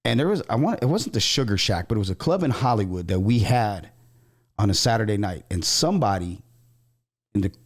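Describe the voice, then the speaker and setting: A man's voice: on the low side, animated, a little fast. An American man from Southern California hosting a podcast, telling personal stories in casual, slangy conversation. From Southern California, USA. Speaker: podcast host. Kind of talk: casual storytelling chat.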